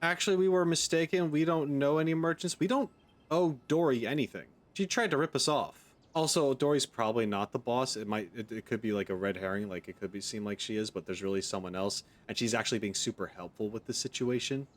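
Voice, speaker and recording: A man's voice: mid-range voice, fairly quick. A man giving casual reaction commentary as a game streamer, opinionated, informal and jokey.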